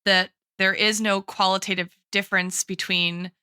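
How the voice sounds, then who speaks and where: A woman's voice: mid-range voice, flat delivery, normal speed, sharp tone, clear, resonant tone, no room sound, clean studio sound. A woman in a casual, analytical podcast discussion, thinking aloud as she goes and often checking in with "right?". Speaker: podcast co-host and guest expert.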